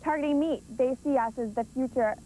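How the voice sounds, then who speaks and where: A woman's voice: high-pitched, fairly quick, dark tone, slight background noise, poor audio. A woman speaking as a youth campaign advocate.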